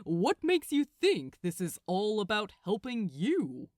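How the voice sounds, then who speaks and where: A woman's voice: mid-range voice, huge pitch swings, measured pace, a little mellow, no echo, clean studio sound. A woman voicing a smug, sanctimonious male angel in a storybook reading, impatient and taunting with a superior manner. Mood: scornful.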